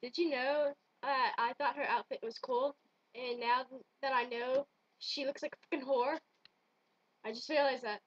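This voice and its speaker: A young woman with a high voice, chatting casually and teasing her friend. She is playful and swears easily, heard through dull, lo-fi webcam audio. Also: fairly even intonation, normal speed, slightly rough, dry recording. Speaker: friend joining in character voices. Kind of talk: casual joking chat. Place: small bedroom at home, indoors. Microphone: computer webcam mic at arm's length.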